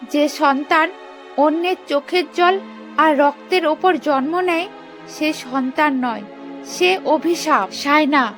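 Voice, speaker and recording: A woman playing a wronged, grieving young mother in a cartoon moral story, declaiming with righteous defiance. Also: high-pitched. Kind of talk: dramatic animated moral story acting.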